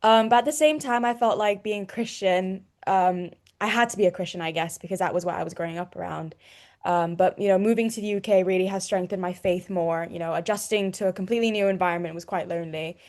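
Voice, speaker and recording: A woman's voice: medium pitch, darkish tone. A young woman student from Hong Kong, giving an earnest personal faith testimony with fillers like "you know.". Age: young adult.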